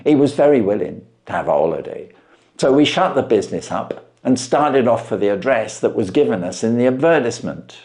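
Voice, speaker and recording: Man acting an earnest, lively Victorian London shopkeeper. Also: mid-range voice, fairly quick, close to an everyday voice. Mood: earnest, plaintive. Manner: wordy, respectful storytelling. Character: stay-at-home London pawnbroker, earnest, long-winded widower.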